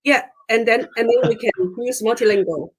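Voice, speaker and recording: A woman's voice: on the high side, moderate pace. A woman from Hong Kong with a Hong Kong accent, hosting a casual interview in a chatty way and switching between Cantonese and English. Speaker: host.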